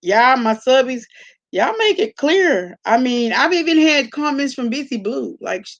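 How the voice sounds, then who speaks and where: A woman's voice: sits high, big pitch swings, measured pace, lo-fi sound. A woman hosting a casual livestream, talking to viewers in an informal, slangy, conversational way. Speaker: live-stream host reacting to online gossip.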